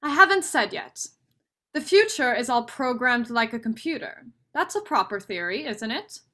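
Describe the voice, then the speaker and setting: High bright-voiced young woman, acted by a student, outspoken romantic type, stage play reading. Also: very animated, moderate pace. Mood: eager, musing. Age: young adult. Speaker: student actor.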